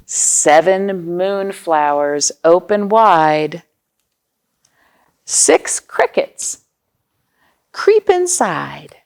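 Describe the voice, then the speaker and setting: A woman with a creaky voice hosting storytime for young children, reading aloud and chatting to them in simple explanations and questions. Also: lowish voice, big pitch swings, extremely slow, long pauses, darkish tone. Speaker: children's storytime host at a library.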